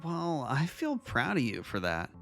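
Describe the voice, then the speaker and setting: A man playing a kind high school vice-principal in an audio story, speaking with earnest, measured reassurance. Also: huge pitch swings, vocal fry, fairly bright tone, faint music behind, heavily acted. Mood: gentle, reassuring. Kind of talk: dramatic fan fiction audio reading.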